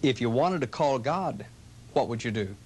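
A middle-aged man, a pastor, speaking in an earnest, gentle, inviting tone in scripted television ads from the 1980s. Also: mid-range voice, animated, normal speed, slightly rough. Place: studio sets and church rooms, indoors. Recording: 1980s local TV ad audio.

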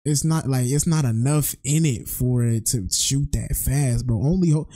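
A man's voice: medium pitch, normal speed, warm tone. A man chatting casually over gameplay as a streamer, with slangy, profane, blunt talk. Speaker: game streamer. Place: indoors at a computer, livestreaming.

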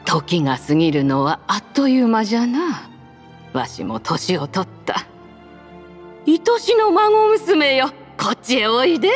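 A doting old grandmother for a game, with a lively, swooping delivery. Long reverb trails each phrase and music plays underneath. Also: on the high side, measured pace. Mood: fond, wistful. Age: older. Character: doting elderly grandmother passing on an heirloom. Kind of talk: Japanese game voice acting.

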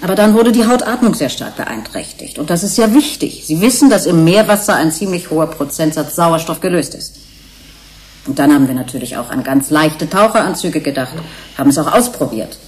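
A woman's voice: low-pitched, expressive, fairly quick, a little mellow. A woman playing a frank research scientist in a radio play, explaining things in an emphatic way. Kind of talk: German radio play acting.

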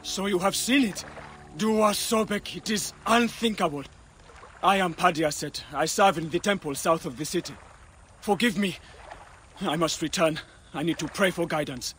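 An actor playing a gentle, pious and troubled ancient Egyptian priest in a video game, solemn and pleading. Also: male voice, high voice, normal speed, slight background noise. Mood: grieved, pious.

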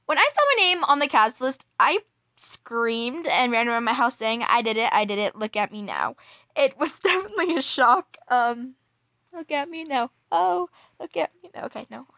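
A young woman chatting casually to viewers in a gushing, excitable, giggly manner, as an amateur voice actress promoting a project. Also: sits high, moderate pace, no echo, studio quality. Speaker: amateur voice actress introducing a role.

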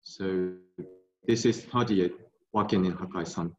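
Slow young Japanese-accented man, roomy call. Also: low voice. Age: young adult. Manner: halting, repeats words, soft laughs. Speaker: brewery guest. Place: tasting room with bottle shelves. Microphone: video call. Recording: roomy, dull video call audio.

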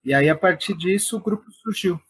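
A man's voice: high voice, a little fast, fairly bright tone, breathy edge. A man with a São Paulo Brazilian accent, speaking reflectively and a little hesitantly as an interviewed theatre artist. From Perus, São Paulo, Brazil. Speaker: interviewed playwright and theatre director.

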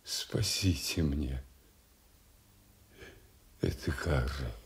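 A man's voice: rumbling low, drawn-out words, slightly rough, exaggerated voice. An actor playing a broken old nobleman, blinded and full of remorse, in classical tragic style for a radio drama. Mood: remorseful, pleading. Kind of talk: classical stage tragedy acting for radio.

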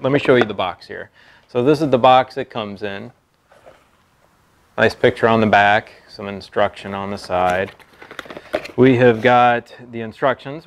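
An American man in his thirties giving a casual product review to camera in a halting, conversational way. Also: medium pitch. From Texas, United States. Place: open metal-roofed stable, seated at a pickup tailgate.

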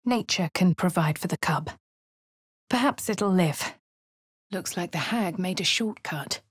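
Posh composed adventurer. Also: female voice, lowish voice, lively intonation, measured pace, husky, dry recording, studio quality. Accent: posh English. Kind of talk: game character voice lines.

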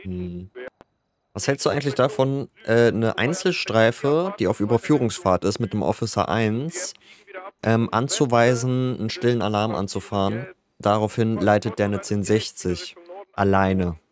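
A man's voice: fairly low pitch, normal speed. A man playing a police officer in a game, chatting casually and a little grumpily about his shifts. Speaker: police officer player, streamer. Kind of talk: casual roleplay chat in a game.

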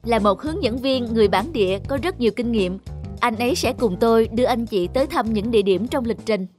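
A woman's voice: fairly high pitch, lively intonation, fairly quick, darkish tone, lightly acted. A woman voicing a polite, deferential male tour guide in a cartoon dub, with music underneath. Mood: welcoming. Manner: polite, formal presenting.

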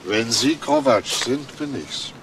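An actor playing a patient, probing older police detective in a radio crime drama, calm and deliberate. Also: male voice, mid-range voice, background noise. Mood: businesslike.

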